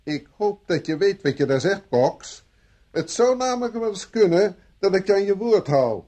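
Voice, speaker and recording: An actor playing a stern, sceptical British police inspector who questions with sarcasm in a radio drama. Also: male voice, sits high, animated, moderate pace, warm tone. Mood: sardonic, pressing. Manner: sarcastic, interrogating. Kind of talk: vintage Dutch radio drama acting.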